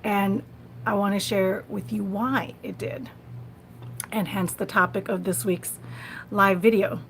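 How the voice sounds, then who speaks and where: A woman's voice: mid-range voice, steady tone, measured pace. Chatty woman livestreamer on a noisy phone recording. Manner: conversational, repeats phrases, frequent small laughs. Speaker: live-stream host. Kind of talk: casual live vlog chat to viewers.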